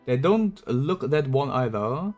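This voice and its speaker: A man's voice: mid-range voice, sing-song, takes it slowly, darkish tone, faint music behind. A man streaming a video game, giving casual, offhand and jokey commentary as he plays.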